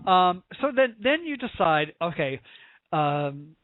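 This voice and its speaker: A high-pitched American man hosts a chatty interview in a gushing, theatrical manner. He teases as he goes, and the sound is dry, thin and phone-like. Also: sing-song, slow, no room sound. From United States. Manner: effusive, theatrical, teasing. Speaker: talk show host. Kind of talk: casual celebrity interview. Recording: tinny, phone-like narrow-band audio.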